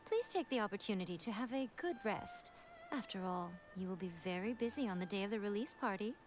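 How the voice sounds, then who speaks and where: An actress playing a sly, elegant female fox-spirit priestess who talks in a smooth, teasing and regal way. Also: mid-range voice, lively intonation, a little fast, lightly acted. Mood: encouraging. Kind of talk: game cutscene voice acting. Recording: game audio with soft music behind. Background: music.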